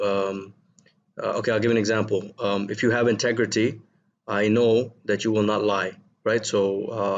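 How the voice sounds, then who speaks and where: A male teacher giving a recorded vocabulary lesson to his students, gentle and encouraging, pausing often with ums and repeated words. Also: on the low side, muffled recording.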